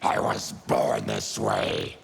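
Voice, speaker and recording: An actor playing a brutal, brooding, disease-ridden monster warrior in a video game, growling with grave menace. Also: male voice, a bass voice, very animated, takes it slowly, creaky, husky, exaggerated voice. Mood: bitter, grim. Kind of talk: video game character dialogue.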